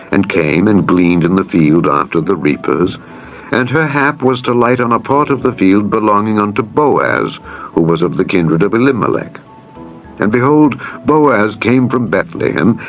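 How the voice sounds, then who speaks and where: Deep-voiced man solemnly narrating scripture over music. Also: lively intonation, moderate pace. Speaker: audiobook narrator. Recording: dull lo-fi sound with music. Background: background music.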